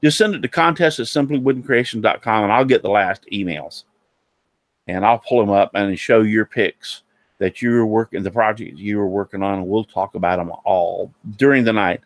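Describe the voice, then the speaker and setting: A man hosting a casual live-streamed woodworking talk show, sounding nasal and a little hoarse, rambling with many fillers and restarts. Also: on the low side, moderate pace, no echo.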